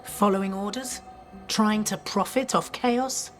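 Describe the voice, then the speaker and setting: A woman's voice: medium pitch, fairly even intonation, a little slow, close to an everyday voice. An actress playing a stern, dutiful templar officer in a video game, terse and businesslike, with music behind her. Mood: concerned, earnest.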